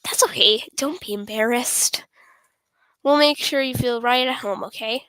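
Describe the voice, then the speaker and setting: A woman's voice: on the high side, measured pace, sharp tone, smooth, no room sound. A woman voicing a confident, friendly teenage girl club president from a visual novel, encouraging and reassuring. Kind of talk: visual novel character read on stream.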